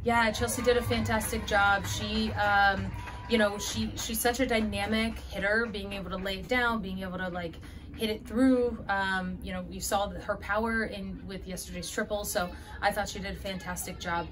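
A woman's voice: medium pitch, fairly even intonation, normal speed, over music. Woman coach in her 30s, postgame interview, earnest with fillers, indoor hallway, camera close. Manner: earnest, fillers and restarts, gestures while talking. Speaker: head softball coach.

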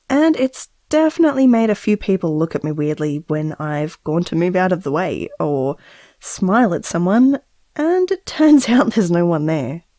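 A woman playing a tender, earnest expectant mother talking to her baby in a gentle, wry, musing way in an audio drama. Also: mid-range voice, dry recording, clean studio sound. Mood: wry, fond. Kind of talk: audio drama monologue, intimate voice message.

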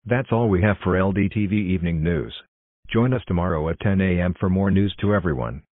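A man's voice: sits low, a little fast, no room sound, narrow, tinny audio. A man reading scripted television announcements as a channel announcer and newsreader.

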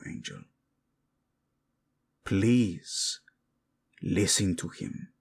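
A man's voice: fairly low pitch, heavily acted. A man playing a possessive, devoted prince in a romantic audio roleplay, murmuring formal words with Spanish endearments to his wife. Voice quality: husky, gravelly, breathy in places.

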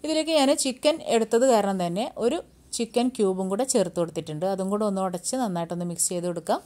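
A woman hosting a home cooking channel, giving brisk step-by-step recipe instructions in a voice-over. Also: mid-range voice, dark tone. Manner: brisk, step-by-step instructing, Malayalam mixed with English words.

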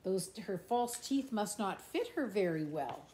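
Middle-aged female storyteller, slow and expressive picture-book delivery, playful sing-song lilt, roomy home recording. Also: medium pitch, a little hiss or hum. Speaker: grandmother reading a picture book to grandchildren. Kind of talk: animated children's storybook read-aloud with asides. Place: indoors in a room at home. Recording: echoey room.

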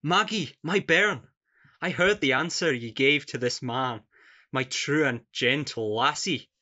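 An actor playing an earnest young Scottish peasant lad in love, sentimental and declamatory, in a farcical radio play. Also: male voice, medium pitch, unhurried, pushed and bright, highs cut off. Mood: tearful, grateful.

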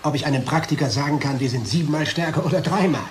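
An actor playing an agitated, nitpicking sales-minded colleague in a radio play, insistent and needling. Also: male voice, a little high, quick pace, a crowd in the background, lightly acted. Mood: needling, indignant.

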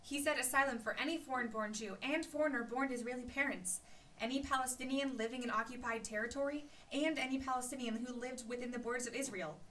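Lady playing pleading relative, high bright voice, faint noise, radio drama. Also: quick pace, distant mic. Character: pleading woman reasoning with her family. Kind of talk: audio drama acting.

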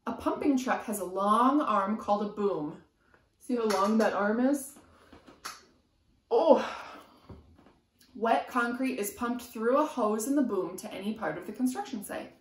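Woman doing storytime for little kids, takes it real slow, sing-song and emphatic, bit of room echo. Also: on the high side, a little hiss or hum. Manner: rhythmic, sing-song, emphatic on sound words. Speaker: preschool teacher reading storytime. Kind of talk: expressive read-aloud for young children. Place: small room at home, indoors. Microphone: camera a few feet away. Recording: roomy echo from camera distance.

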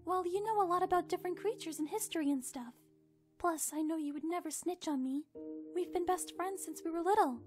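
A woman voicing a timid, earnest young cartoon pony princess, gentle, polite and a little hesitant. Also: very high-pitched, brisk. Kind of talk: animated fan drama acting. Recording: music under the voice.

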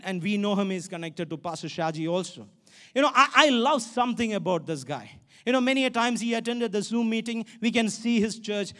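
High-pitched Indian male preacher. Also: fairly bright tone. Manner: emphatic, exhortatory, repeats phrases for stress. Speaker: pastor. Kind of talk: sermon. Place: large stage in an indoor auditorium. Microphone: handheld microphone.

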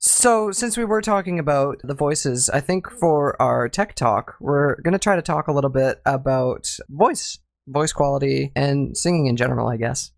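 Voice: medium pitch, lively intonation, moderate pace, gravelly, few pauses, dry recording, clean studio sound. A podcast host who rambles through casual chat and laughs often.

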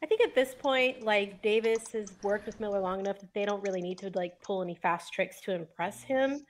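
A woman's voice: mid-range voice, a little fast. A woman playing a tabletop roleplaying game, talking casually and thinking aloud as she goes. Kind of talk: casual in-character game talk. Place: indoors at home on a video call.

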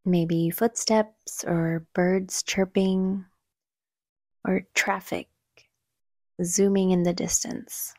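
A woman leading a guided meditation in a soothing, gentle, unhurried manner, with soft breathy phrase endings. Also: fairly low pitch, little pitch movement, dry recording, clean studio sound. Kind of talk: calm guided meditation narration.

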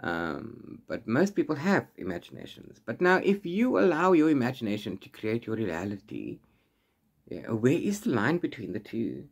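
A man in his forties giving a casual, unscripted book chat, rambling in an animated way with exasperated asides. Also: mid-range voice, moderate pace, slightly rough. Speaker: book reviewer vlogger. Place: small room at home with bookshelves.

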